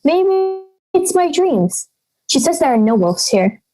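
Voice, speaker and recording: A young woman acting a lonely, fearful girl who voices brooding inner thoughts in halting phrases in an audio drama. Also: high voice, very animated, heavily acted. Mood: wistful, uneasy.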